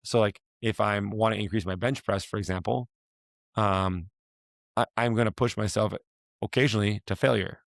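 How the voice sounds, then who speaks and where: Man, raspy, hoarse, low gravelly voice, rambling chat, dry room. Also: fairly even intonation, normal speed, dry recording. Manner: rambling, restarts often, questioning. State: hoarse from a week of yelling. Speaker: podcast host, fitness coach. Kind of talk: casual chat.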